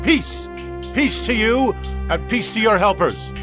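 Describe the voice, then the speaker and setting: Fervent warrior chief, high and slow. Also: male voice, expressive. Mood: fervent, exalted. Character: fervent warrior chief pledging loyalty, spirit-filled. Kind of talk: dramatized scripture acting. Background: music.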